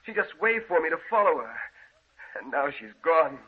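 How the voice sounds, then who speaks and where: A man's voice: fairly high pitch, big pitch swings, normal speed, breathy edge. A male actor playing a distraught, obsessed husband in an impassioned, melodramatic 1940s radio horror style. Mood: bewildered, insistent. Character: distraught obsessed husband chasing his dead wife. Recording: noisy, dull old radio recording.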